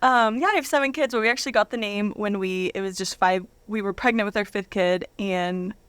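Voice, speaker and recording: An adult woman, a guest and reader, speaking at a medium pitch. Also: brisk, fairly bright tone, clear, resonant tone, no echo.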